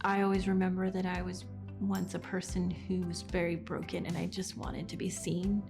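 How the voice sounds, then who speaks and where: A woman in her thirties, speaking earnestly and in a measured way in an interview. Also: on the low side, monotone, gravelly, darkish tone. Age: 30s. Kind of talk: reflective interview. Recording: faint music underneath.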